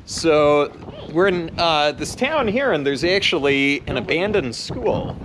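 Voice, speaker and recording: A man's voice: a little high, expressive, a little slow. A man hosting a casual vlog, chatting to the camera and his friends in an enthusiastic, friendly way. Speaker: vlog host. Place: out and about in Japan and a lively bar. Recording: loud music and crowd noise behind.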